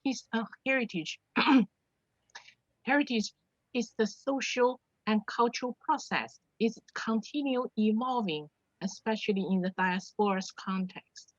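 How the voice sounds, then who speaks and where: A woman's voice: a little high, a little slow, dry recording, highs cut off. A woman speaking earnestly on a video call panel, repeating herself and using non-native grammar.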